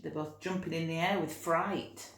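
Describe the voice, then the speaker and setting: A woman reading a picture book aloud to young children, expressive, with chatty asides and gentle explanations. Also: low voice, moderate pace, creaky. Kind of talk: animated read-aloud storytelling with chatty asides. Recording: roomy, echoey room sound.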